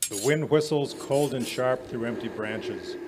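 A man playing an affable 1940s radio announcer and pitchman, theatrical and deliberate on a live stage. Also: background noise, lightly acted. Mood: dramatic. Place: small stage in a museum, live performance. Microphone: vintage-style stand microphone.

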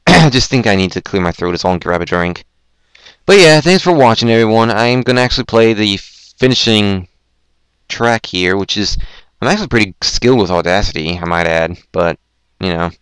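Young male host, low smooth voice, rambling, self-deprecating, a little hoarse, dry close mic. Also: lively intonation, moderate pace, fairly bright tone, dry recording, low-quality recording. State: throat going hoarse. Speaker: podcast host. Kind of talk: casual chat on a Skype call.

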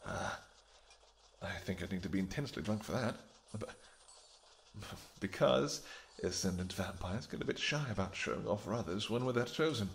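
Man voicing a vampire king, deep-ish gravelly tone, playful and affectionate, soft murmuring delivery. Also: animated, moderate pace, slight background noise. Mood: flirty teasing. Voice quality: gravelly, low. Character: royal vampire king, adult male, flirtatious. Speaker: audio roleplay performer. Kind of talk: audio roleplay acting.

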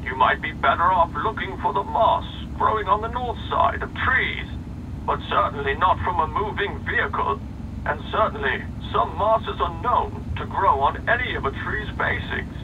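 Elderly male fantasy game sage, grandfatherly, digressive, measured storyteller, distant sound, clear background noise. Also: sits high, animated, phone-quality sound. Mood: rambling. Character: rambling, kindly old male fantasy sage giving directions. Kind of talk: fantasy game character narration.

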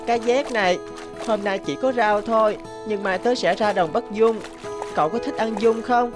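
A woman's voice: fairly high pitch, moderate pace, over music, close to an everyday voice. A woman voicing a kind, humble young orphan boy in a cartoon, polite and earnest. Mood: tender, cheerful.

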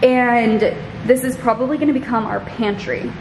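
A woman's voice: fairly high pitch, steady tone, normal speed, noisy background. A young woman in her twenties chatting casually as she walks the camera through an empty house, full of "like" and "you know". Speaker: vlogger giving a home tour. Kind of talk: casual walk-through vlog. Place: empty house, bare rooms. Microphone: handheld camera at arm's length. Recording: echoey empty rooms.

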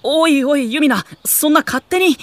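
A man's voice: extremely high pitch, normal speed, slight background noise. A man playing a kind, easygoing teenage boy with a casual, good-natured way of talking. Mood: sheepish.

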